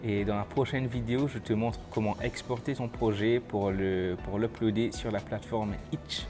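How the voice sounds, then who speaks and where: Low-voiced man giving a casual tutorial. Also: steady tone, moderate pace, over music. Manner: informal, explanatory, step-by-step. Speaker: tutorial presenter. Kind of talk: casual software tutorial, screen walkthrough.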